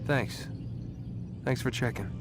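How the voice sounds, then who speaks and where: A man's voice: medium pitch, slow pace, lightly acted. A man playing a sarcastic, frustrated young captive in a video game, talking casually. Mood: subdued. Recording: music behind.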